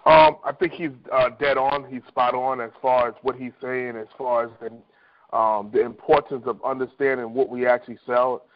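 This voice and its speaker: A man's voice: mid-range voice, lively intonation, moderate pace. Man coaching over a lo-fi phone line. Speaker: insurance agent team leader. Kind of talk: informal coaching on a call. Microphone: telephone. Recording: dull, lo-fi conference phone line.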